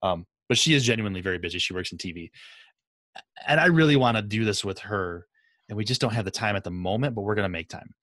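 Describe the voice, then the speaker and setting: A man's voice: on the low side, lively intonation, quick pace, fairly bright tone, no echo, studio quality. A man interviewing casually as a podcast host, with an enthusiastic, effusive manner.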